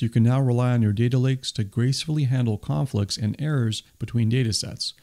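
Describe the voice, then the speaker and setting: A man's voice: medium pitch, a little mellow. A man hosting a tech video, reading a scripted voice-over summary with an enthusiastic, explanatory delivery. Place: voice-over, not recorded on the stage pictured.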